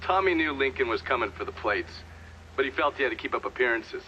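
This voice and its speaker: Bright-voiced, sardonic intelligence operative. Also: male voice, mid-range voice, expressive, quick pace, lo-fi sound, slight background noise. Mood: matter-of-fact recounting. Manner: dry, matter-of-fact. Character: sardonic, shrewd male intelligence operative and accomplice. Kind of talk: cinematic game dialogue, part recounted testimony.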